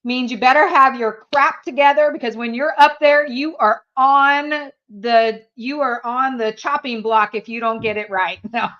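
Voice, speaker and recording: A woman's voice: fairly high pitch, normal speed, few pauses, pushed and bright. Chatty woman, German native, lo-fi sound. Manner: chatty and candid, laughs often. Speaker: sales trainer guest. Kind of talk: casual interview chat.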